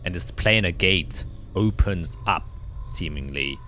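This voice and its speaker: A man narrating a tabletop fantasy game as game master, in a halting, descriptive storytelling manner. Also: deep voice. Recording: music playing behind the voice. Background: loud background music.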